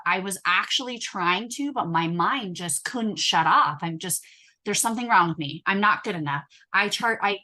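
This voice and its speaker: A woman hypnotherapist chatting in an interview, telling her own story with many fillers and frequent throat-clearing. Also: mid-range voice, pushed and bright. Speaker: hypnotherapist guest.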